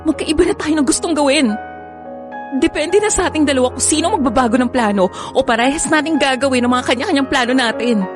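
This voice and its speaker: A defiant young Filipino woman , acted out by an actress, pitched high. She is a fed-up girlfriend in a radio drama, with clear music underneath. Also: quick pace, natural voice. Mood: firm, cold.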